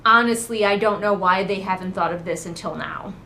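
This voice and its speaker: A woman's voice: mid-range voice, fairly quick, pushed and bright, roomy sound, slight background noise. A woman giving casual, comedic book commentary as a host, in a sarcastic, sweary, conversational manner. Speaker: book critic and host of a readalong.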